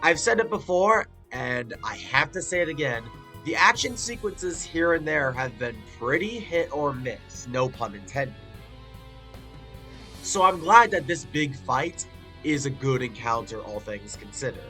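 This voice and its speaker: A man with a stuffy, congested nose talks through a casual review, chatty and loose with his filler words. His voice sits a little high and comes out bright and forward. Soft music plays under him. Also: animated, unhurried. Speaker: video reviewer.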